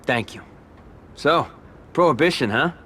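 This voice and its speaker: A man's voice: a little high, animated, a little slow, fairly bright tone, a little hiss or hum. An actor playing a guarded, businesslike male mafia boss in a video game cutscene, talking in terse questions and short replies. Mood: guarded, polite.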